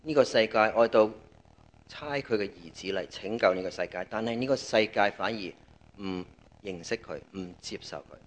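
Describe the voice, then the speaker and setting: Low-voiced Cantonese man preaching. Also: normal speed. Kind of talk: sermon with pauses for an interpreter.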